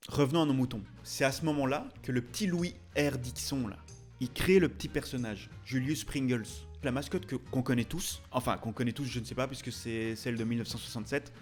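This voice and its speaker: A man's voice: mid-range voice, fairly quick. A chatty man hosting a video with jokey, casual commentary and theatrical, mock-indignant asides. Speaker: YouTube host, tattoo artist. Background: music behind the voice.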